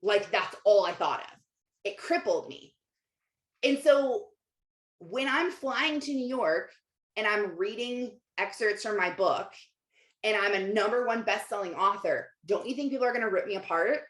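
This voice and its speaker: A woman's voice: medium pitch, lively intonation, unhurried, a bit bright. A woman with a mid-range voice gives an informal motivational talk as a host and life coach. She is conversational and emphatic, leaning on repetition and rhetorical questions. The sound is roomy and echoey. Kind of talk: casual motivational livestream talk to viewers, reading out comments.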